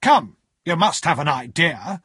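A brusque, commanding lawyer from a storybook, acted out by a male narrator. He speaks sharply and deliberately, pitched up and swooping, with a husky edge. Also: big pitch swings, a bit bright, no room sound, studio quality, heavily acted.

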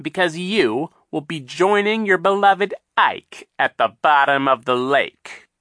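A man voicing a scheming, mocking villain captain who talks slowly and with menace. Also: a little high, lively intonation, a bit bright, no room sound, studio quality, pitched above the performer's own voice. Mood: sneering. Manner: mocking, dismissive, slow menacing delivery. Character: sneering scheming male villain, sea captain.

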